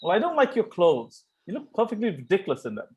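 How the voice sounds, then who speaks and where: Casting: idle, cheeky young Victorian gentleman played by a man, lightly raised pitch, breezy teasing, amateur comedy read. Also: wildly swooping pitch, quick pace, slight background noise. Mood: flippant, teasing.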